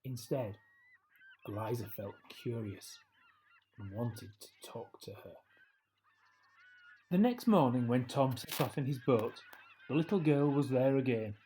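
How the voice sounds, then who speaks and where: A man narrating a children's story as a storyteller, with measured, expressive phrasing and deliberate pauses. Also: medium pitch, a little hiss or hum, rough recording.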